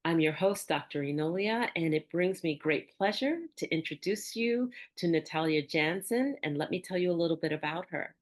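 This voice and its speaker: A woman hosting a podcast, moving between a prepared introduction and conversational interviewing. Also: fairly low pitch. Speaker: podcast host.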